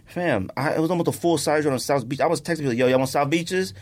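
An American man co-hosting a podcast, chatting casually and telling stories in a slangy, animated way. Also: a little high, fast. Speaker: podcast co-host. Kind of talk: casual sneaker podcast chat.

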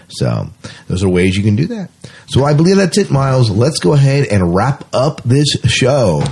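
A man's voice: lowish voice, warm tone, slight background noise. A man co-hosting a podcast in a casual, conversational style. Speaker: podcast co-host.

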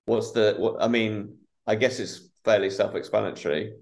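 A man's voice: lowish voice, fairly even intonation, moderate pace, gravelly, a little mellow. A man hosting a podcast, asking rambling questions with restarts in a casual interview. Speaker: podcast host.

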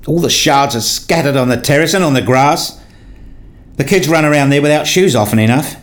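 A man acting as a wounded, self-justifying father in a radio play, speaking in an introspective, deliberate way. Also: on the high side, lightly acted. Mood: bitter, brooding. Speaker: narrator and lead actor in a radio play. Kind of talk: radio play narration and dialogue.

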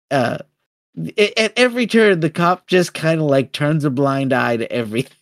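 A man's voice: a little high, normal speed, dry recording. Man casually co-hosting, dry close sound. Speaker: podcast co-host. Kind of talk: casual film discussion.

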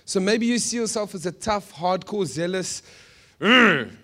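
A South African man preaching conversationally to a congregation, animated, with joking asides and rhetorical questions. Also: high-pitched, normal speed. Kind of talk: sermon to a live congregation, conversational storytelling.

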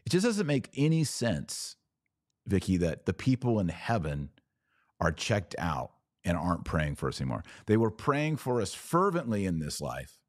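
A man's voice: low-pitched, wildly swooping pitch, moderate pace, no echo, clean studio sound. A man hosting a religious podcast, speaking in emphatic, short declarative phrases as he argues a point of faith to his viewers. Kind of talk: live apologetics talk answering viewer comments.

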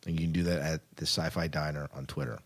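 A man's voice: extremely low pitch, fast talker, vocal fry. A man hosting a podcast in a casual, informal, rambling way. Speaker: podcast host. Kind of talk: casual sci-fi podcast talk.

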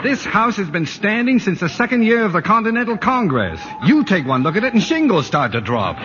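A man's voice: high voice, big pitch swings, brisk, bright, forceful tone, exaggerated voice. A man playing a stubborn, dreamy husband with an American accent, earnest and defensive, in 1940s radio acting. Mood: huffy. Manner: earnest, defensive, hesitant starts. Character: stubborn, dreamy middle-class husband, smitten with his house. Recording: dull lo-fi 1940s radio broadcast.

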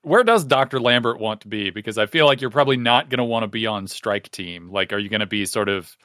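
A man playing a tabletop roleplaying game, talking casually and wryly as he thinks his plans out loud. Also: lowish voice, animated, fast, no room sound, clean studio sound. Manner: wry, talky, thinks aloud.

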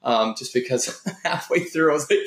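A man in his thirties from the Midwest, a podcast guest, speaking casually and haltingly with frequent restarts. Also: mid-range voice, fairly bright tone, roomy sound. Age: 30s. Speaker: podcast guest, gym owner. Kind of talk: casual interview talk.

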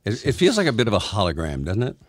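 Male co-host, mid-pitched and hugely animated, tossing jokey asides into casual banter. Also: fast, husky, no echo, studio quality. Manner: jokey interjections. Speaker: radio show co-host.

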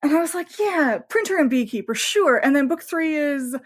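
A woman's voice: high-pitched, animated, normal speed. A woman novelist talking casually as an interview guest, enthusiastic and rambling, often voicing her own thoughts as asides.

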